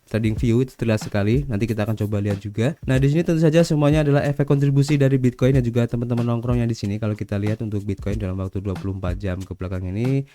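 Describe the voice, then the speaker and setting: An Indonesian-accented man runs through crypto news at a brisk clip, his voice a little blocked and nasal from a cold. His tone is soft and mellow, and he keeps tagging phrases with "ya" and "guys" in a chatty way. A faint hiss sits under the recording. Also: medium pitch, fairly even intonation. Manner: chatty, adds "ya" and "guys" to most lines. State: stuffy nose from a cold. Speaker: crypto news channel host. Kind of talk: casual crypto news roundup.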